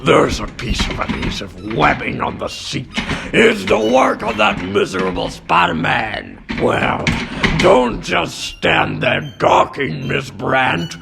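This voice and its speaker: A middle-aged cartoon newspaper boss with a gruff, heavily creaking voice that swings widely in pitch. He drags out his blustering demands with a short temper, over background music. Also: sing-song, takes it slowly, heavily acted. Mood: furious. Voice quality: gravelly. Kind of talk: comic book dub voice acting.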